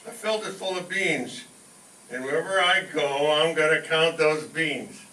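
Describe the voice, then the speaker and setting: A man acts out a bossy, scheming radio comedy father who keeps muttering numbers as he counts. His voice sits fairly high, heard from a distance in an echoey room. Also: normal speed, bright, forceful tone. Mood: busy, eager. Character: bean-obsessed father, eager, bossy, scheming. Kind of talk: old-time radio comedy acting. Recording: echoey distant live stage, noisy room.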